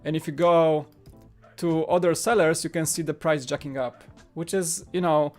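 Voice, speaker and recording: A man's voice: fairly high pitch, steady tone, moderate pace, a little mellow. A man in his thirties, a native Polish speaker, hosting a casual livestream in a rambling way, often repeating phrases. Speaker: livestream host. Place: small home studio room. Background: background music.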